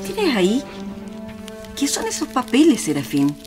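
An actress playing a shopkeeper's fretful, affectionate wife in a radio drama, with a questioning, expressive way of talking. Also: female voice, on the high side, moderate pace, over music. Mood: worried.

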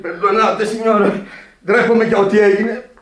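An actor in a radio play as a proud but hapless Sicilian truck driver, emotional, ashamed and apologetic. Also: male voice, sits high, level tone, a crowd in the background, lightly acted. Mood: aggrieved.

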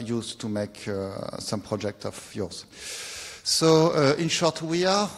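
A young man from France with a French accent, giving a technical conference talk in a lecture hall, pausing often with filler sounds. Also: mid-range voice. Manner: hesitant, many filler sounds. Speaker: conference speaker.

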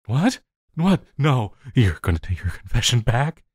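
A man playing an obsessive, lovesick supervillain in an intimate audio roleplay, halting and crooning, by turns sinister and pleading. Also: mid-range voice, big pitch swings, husky, dry recording, studio quality. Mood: panicked, pleading. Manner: halting, crooning, breaking into laughter.

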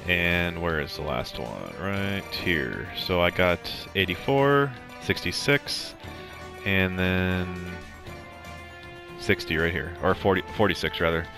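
Deep-voiced man giving a game walkthrough. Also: slow pace. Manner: relaxed, rambling, many ums and restarts. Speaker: gameplay commentator. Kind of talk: casual game walkthrough commentary. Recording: game music playing under the voice. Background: game music.

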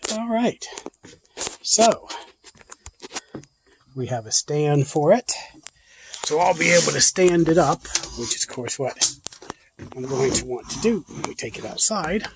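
A man's voice: on the high side, lively intonation, a little slow, gravelly, long pauses, noisy background. A man hosting a prepper channel, talking casually off camera as he unboxes gear on a porch and thinks aloud with loose restarts. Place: porch and yard, outdoors.

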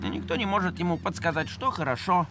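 A man's voice: high voice, expressive, quick pace, over loud music. A man voicing an anxious, indignant cartoon boy pirate, earnest and argumentative. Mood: earnest, worried.

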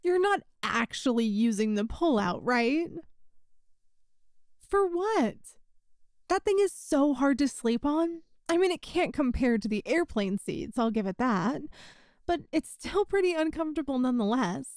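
A woman's voice: high-pitched, big pitch swings, unhurried, a little mellow, no room sound, clean studio sound. A woman playing a teasing young woman, a friend's older sister, in a conversational audio roleplay. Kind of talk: intimate ASMR-style audio roleplay, one side of a conversation.

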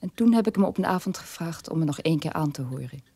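Troubled middle-aged mom in a radio play, lowish voice, really dry close sound. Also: female voice, animated, quick pace, dark tone, husky, no room sound, heavily acted. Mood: subdued, shaken. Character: troubled middle-aged mother recounting family's guilty past. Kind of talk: dramatic radio play monologue.

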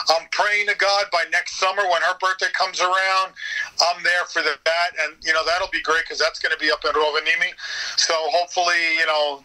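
High-pitched, very bright man chatting enthusiastically. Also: rough recording. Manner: enthusiastic, sweary. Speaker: podcast guest, voice actor. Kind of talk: casual interview chat.

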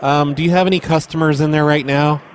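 A man doing prank phone calls as a mall Santa, in a deadpan, crude, mock-serious way. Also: on the high side, fast talker, a little hiss or hum. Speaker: prank call host.

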